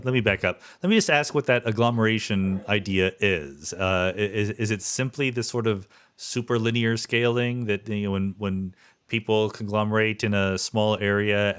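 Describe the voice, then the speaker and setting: Podcast host: American man, fifties, lowish voice, conversational, reworks his questions mid-thought. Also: fairly bright tone, smooth. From US East Coast. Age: 50s. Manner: thinks aloud, rephrasing questions as he goes. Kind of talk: conversational interview.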